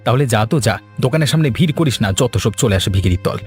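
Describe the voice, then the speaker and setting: A man's voice: mid-range voice, lively intonation, very fast, darkish tone, faint music behind, pitched below the performer's own voice, lightly acted. Arrogant, dismissive shopkeeper, voiced by a man. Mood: scornful. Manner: haughty, dismissive. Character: arrogant, scornful biryani shop owner. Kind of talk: animated moral story voice acting.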